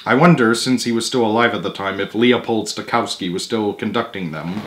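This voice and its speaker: A young adult man reads a children's book aloud as expressive narration with casual asides, recorded indoors in a living room with the camera a short distance away. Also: fairly low pitch, brisk, a little hiss or hum.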